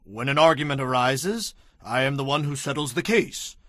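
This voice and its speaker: An actor playing an earnest male leader and judge, speaking in a measured, explaining way in a dramatized audio Bible. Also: medium pitch, lively intonation, a bit bright, no room sound, clean studio sound, natural voice. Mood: patient explaining.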